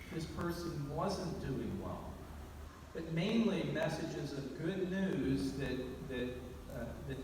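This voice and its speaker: A man giving a storytelling award speech, speaking deliberately with pauses and repeated phrases. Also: a little high. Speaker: award presenter. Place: large banquet or awards hall over PA. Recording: very echoey hall, distant mic, noisy.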